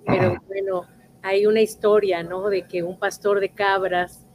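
A woman hosting an arts show, asking her guest curious, animated questions. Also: medium pitch, a little slow, a little hiss or hum. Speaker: host. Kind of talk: casual interview.

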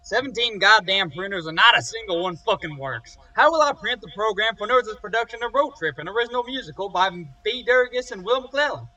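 An overwrought male theatre student acted by a man speaks in a high, tense voice. He is emphatic and exasperated, in a comic audio-drama manner. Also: animated, fairly quick, few pauses, pushed and bright, highs cut off. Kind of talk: comedic audio drama acting.

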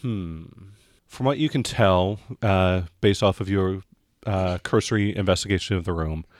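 Male game master, lower register, improvised and halting narration, very dry sound. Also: no echo. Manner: halting, searching for words.

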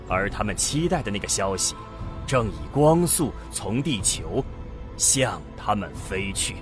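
A male narrator tells a science fiction radio drama with vivid imagery. His pitch is lively, and clear music plays under his voice. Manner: vivid, descriptive. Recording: music behind.

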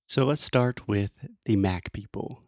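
A man's voice: lowish voice, measured pace, darkish tone, dry recording, studio quality. An American man hosting a casual English-learning podcast, talking straight to listeners in informal, emphatic, simply explained phrases. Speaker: podcast host.

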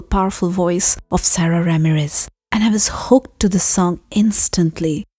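A woman with an Indian accent and a breathy voice, hosting a storytelling podcast and speaking directly to her listeners. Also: lowish voice, mellow. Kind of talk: podcast narration, addressing listeners.

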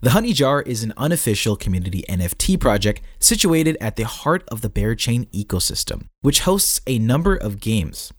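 A man's voice: mid-range voice, sing-song, normal speed, hardly any pauses, dry recording, studio quality. A man reading a scripted article aloud as a narrator, with a tongue-in-cheek manner.

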